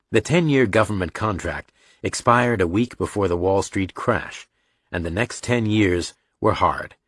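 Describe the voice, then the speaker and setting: Male audiobook narrator, lowish pitch, dry close-miked scripted storytelling. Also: a bit bright, no room sound.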